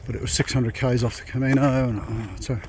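A man's voice: medium pitch, steady tone, fast. Man thinking aloud on a walk outdoors. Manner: rambling, thinking aloud with restarts. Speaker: vlogger walking a pilgrimage route. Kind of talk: casual walking vlog. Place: outdoors on a rural dirt track. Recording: noisy outdoor walking recording. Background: rural outdoor noise.

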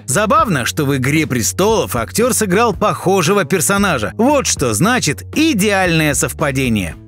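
A man's voice: fairly high pitch, sing-song, moderate pace, fairly bright tone. A man reading scripted narration for an entertainment video, playful and exclamatory, speaking straight to the viewer. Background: background music.

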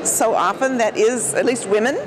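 A woman's voice: a little high, normal speed. An older woman, a real estate agent, chatting in an on-the-spot interview in a shopping mall. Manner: friendly, chatty, light laughs. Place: busy shopping mall concourse. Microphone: handheld camera close up. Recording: noisy mall ambience.

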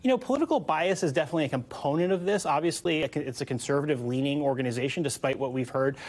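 A man's voice: a little high, racing. An American man in his thirties, a reporter explaining things conversationally in a live news interview with loose, informal phrasing. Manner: informal, loosely hedged phrasing with "sort of" and "you know". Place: TV news studio.

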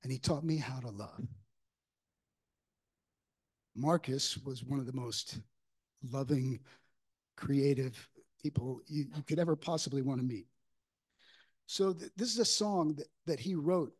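A man in his sixties preaching a reflective, informal, conversational sermon with halting pauses into a lectern microphone in a church. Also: medium pitch, breathy edge. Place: church sanctuary, at a wooden lectern. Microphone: microphone on a stand at the lectern.

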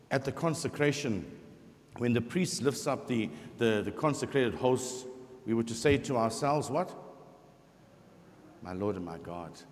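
A middle-aged man, a parish priest, preaching a sermon in a large church with emphatic pauses and voiced scripture quotes. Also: medium pitch, darkish tone, a little hiss or hum. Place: large church sanctuary before the altar. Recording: long church reverb.

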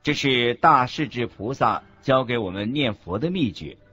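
A man playing a Buddhist monk teacher in a scripted radio lecture, husky, expressive and didactic. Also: mid-range voice, moderate pace. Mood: earnest teaching. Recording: dull lo-fi recording with faint music.

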